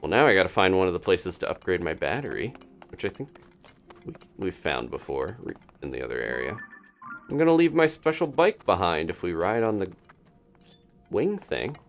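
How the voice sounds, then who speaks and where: A man's voice: deep voice, very animated, moderate pace, soft background music. A man chatting casually through a live-streamed game, wondering aloud and laughing often. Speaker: streamer playing a video game.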